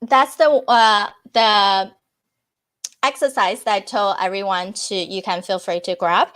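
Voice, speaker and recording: A woman's voice: mid-range voice, fairly even intonation, a little slow, a bit bright, clean tone. A woman whose first language is Chinese, answering interview questions earnestly, with false starts and fillers. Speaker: podcast guest.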